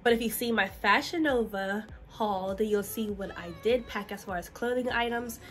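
A woman's voice: mid-range voice, moderate pace. A young woman in her twenties chatting casually to her phone camera for a vlog, sounding slightly nasal. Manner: chatty, addresses viewers as "you guys". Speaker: vlogger. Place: car interior, then bedroom. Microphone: phone camera at arm's length.

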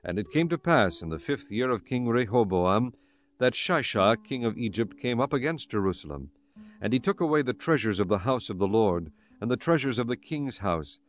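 A man narrating scripture from a script in a measured, solemn manner. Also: medium pitch. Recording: music bed behind the voice.

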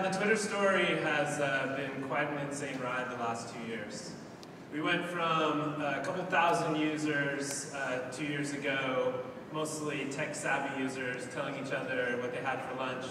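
Man on stage at a tech conference, upbeat and casual, mid pitch, heard from the back of a reverberant hall. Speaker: guest speaker. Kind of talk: conference keynote talk. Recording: echoey hall from far off with noise.

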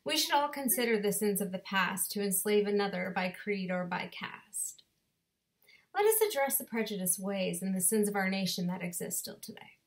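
A woman in her thirties performing her own spoken word poem in a deliberate, rhythmic way, recorded on a webcam in her bedroom. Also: medium pitch, animated. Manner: slow, deliberate rhythmic delivery with dramatic pauses. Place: bedroom at home. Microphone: webcam at desk distance.

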